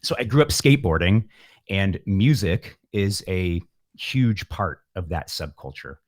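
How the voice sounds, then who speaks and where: A man's voice: sits low, lively intonation, measured pace, no room sound, studio quality. A man talking casually as an interview guest, musing in a reflective, wordy, rambling way. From San Francisco Bay Area, California. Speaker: guest, musician. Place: home setup, remote call.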